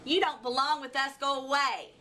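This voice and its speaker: A woman's voice: high voice, fairly even intonation, moderate pace, pushed and bright, pitched above the performer's own voice. A woman voicing vain, haughty, pretty owls in a children's storybook, with a drawling manner. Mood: snooty disdain. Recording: distant mic, faint background noise.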